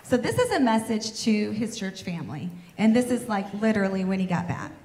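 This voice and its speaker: A woman, the pastor's wife, telling briskly and with some teasing how her husband ended up in the hospital. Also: medium pitch, very animated, a little mellow. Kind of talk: casual chat. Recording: echoey room with faint noise.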